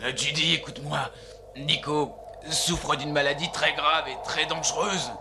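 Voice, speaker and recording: Un comédien qui joue un chien-loup héroïque de dessin animé, loyal, au parler simple et sincère. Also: male voice, fairly high pitch, fairly even intonation, unhurried, background noise. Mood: grave. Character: earnest, loyal male wolf-dog hero. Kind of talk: animated film dub.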